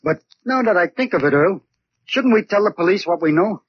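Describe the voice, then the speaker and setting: The Professor, mild high-voiced radio sidekick. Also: male voice, lively intonation, brisk. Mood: earnest, worried. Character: mild, earnest male detective's sidekick called the Professor. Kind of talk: 1940s radio drama acting. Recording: muffled 1940s radio recording with faint noise.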